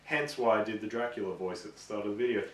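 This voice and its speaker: A man's voice: on the low side, quick pace, a bit bright, a little hiss or hum. Casual man in a roomy, echoey space. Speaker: co-host of a gaming video show. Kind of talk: casual chat about films and games. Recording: echoey room, distant mic.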